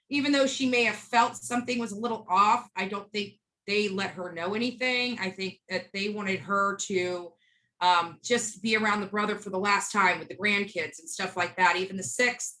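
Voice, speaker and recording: Woman, bright forceful voice, casual storytelling, blunt, emphatic, interview guest. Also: mid-range voice, rough recording. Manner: emphatic, blunt, quotes dialogue as she narrates. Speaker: interview guest, street protester.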